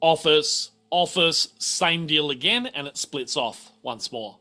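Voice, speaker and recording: A man's voice: a little high, takes it slowly, bright, forceful tone. A man running a tabletop game as game master, explaining and thinking aloud as he improvises.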